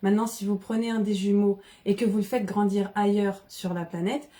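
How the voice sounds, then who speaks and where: A woman from the Paris region, explaining ideas in casual, animated conversation with frequent restarts. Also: medium pitch, brisk. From Paris region, France. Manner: animated, many restarts and fillers.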